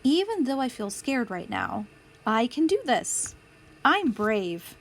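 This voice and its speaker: A woman reading a picture book aloud as a storytime host, with deliberate, clear phrasing for young children. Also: a little high, sing-song. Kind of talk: children's read-aloud narration.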